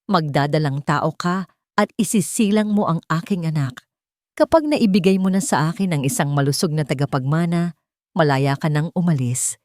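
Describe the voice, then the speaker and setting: A woman's voice: deep voice, expressive, normal speed, darkish tone, no room sound. A dry, close recording of a ruthless crime boss, played by a woman storyteller. The delivery is controlled and menacing, every statement laid down as a merciless command in a dramatic audiobook. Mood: cold, businesslike.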